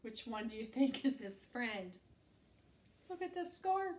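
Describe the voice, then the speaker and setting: A woman storytime teacher reading aloud to young children in an expressive way, adding asides and questions for the listener. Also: a little high, a little slow. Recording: echoey room, distant microphone.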